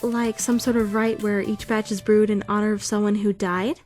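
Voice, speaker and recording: A woman's voice: medium pitch, level tone, normal speed, a little mellow, natural voice. A woman playing a prim, bookish young student who is easily indignant, in a fan-dubbed visual novel with formal, theatrical delivery. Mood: nervous, rambling. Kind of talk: first-person visual novel fan dub narration and dialogue. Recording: music behind the voice.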